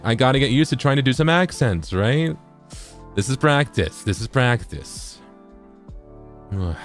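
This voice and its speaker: A man live streaming a visual novel, chatting casually and playfully, with a self-deprecating streak. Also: fairly low pitch. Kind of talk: casual gaming stream, reading dialogue aloud with commentary.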